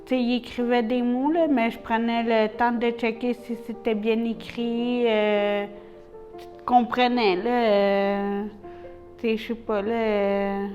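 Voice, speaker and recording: Hesitant slow-talking Québécois woman. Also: fairly high pitch, a little mellow, muffled recording. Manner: hesitant, many pauses and fillers. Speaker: adult literacy learner. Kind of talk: personal testimony.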